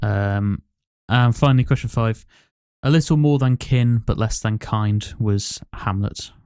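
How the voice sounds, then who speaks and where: Low, clear-voiced man hosting a quiz. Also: measured pace, darkish tone, dry recording, studio quality. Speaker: podcast host and quizmaster. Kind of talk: casual quiz hosting, reading out questions and answers.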